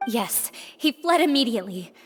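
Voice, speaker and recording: Anxious, high-voiced young woman. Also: big pitch swings, normal speed, big-room sound. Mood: flustered. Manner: nervous, hesitant, apologetic. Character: anxious, apologetic, deferential young woman informant. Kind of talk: anime game voice acting. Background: game music.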